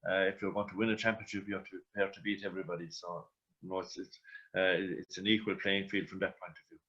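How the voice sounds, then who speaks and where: Dull lo-fi sound, man, lowish monotone voice, measured sports journalist explaining. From Ireland. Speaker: sports journalist, panel guest. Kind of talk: radio sports analysis. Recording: dull lo-fi radio audio.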